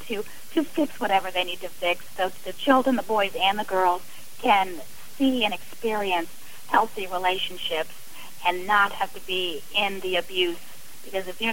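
A woman's voice: mid-range voice, moderate pace, fairly bright tone, slight background noise, rough recording. A woman speaking earnestly and effusively as a guest in a radio interview. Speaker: interview guest, abuse survivor and advocate.

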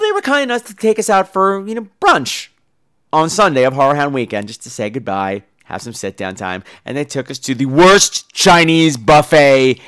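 A man's voice: fairly high pitch, fairly bright tone, clear, resonant tone. A man hosting a podcast in a casual comedic monologue, with a campy, theatrical and emphatic delivery. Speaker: podcast host.

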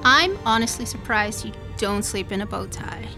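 A woman's voice: fairly high pitch, lively intonation, moderate pace, over music. A woman playing a tough, teasing space pirate captain in a radio play, with a wry, bantering manner. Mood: wry, seductive.

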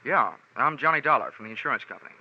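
A man's voice: medium pitch, expressive, rapid-fire, husky. An actor playing a wry, flippant male insurance investigator in 1940s radio detective style, speaking with a sardonic manner. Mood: questioning. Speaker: lead actor and narrator. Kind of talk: 1940s radio detective acting, wry first-person narration. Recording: dull vintage 1940s radio recording, faint music.

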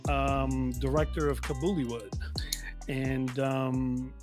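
A man's voice: medium pitch, steady tone, takes it slowly, over music. A man hosting a podcast interview, asking rambling questions full of restarts and filler words. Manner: rambling, with many restarts, likes and ums. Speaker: podcast host.

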